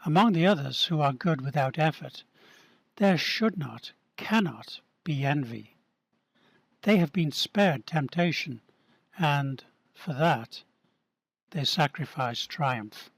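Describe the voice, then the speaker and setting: A man voicing an earnest male preacher in an amateur radio play, speaking slowly and deliberately. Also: fairly high pitch, expressive, gravelly, no echo, heavily acted. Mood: earnest, preaching. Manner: slow, deliberate sermon-like delivery. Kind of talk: amateur radio drama reading.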